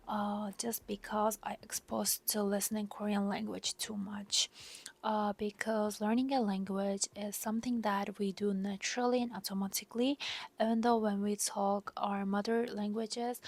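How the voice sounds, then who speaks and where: A young Turkish woman speaks English in a soft, breathy near-whisper, pausing often with hesitant fillers. Her voice sits in an ordinary middle pitch for a woman, and she sounds like an exchange student sharing her story in a casual vlog. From Turkey. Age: young adult. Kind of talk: personal vlog monologue.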